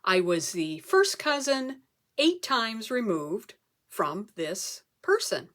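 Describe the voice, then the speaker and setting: A woman performing a comic story as a speech, theatrical, with dramatic pauses and emphasis. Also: mid-range voice, sing-song, a bit bright. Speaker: club speaker telling a humorous tall tale.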